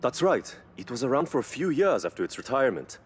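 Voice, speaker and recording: An actor playing an earnest, admiring car mechanic in a video game. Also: male voice, medium pitch, big pitch swings, fast talker, slight background noise, natural voice. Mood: admiring, thoughtful. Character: Yuji, earnest, reverent male car mechanic. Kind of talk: video game cutscene voice acting.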